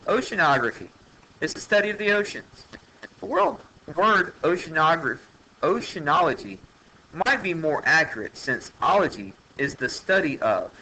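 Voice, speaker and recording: Male educational narrator, very sharp bright tone, plain classroom reading, noisy home-made recording. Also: a little high. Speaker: teacher reading a textbook aloud. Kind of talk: educational read-aloud narration.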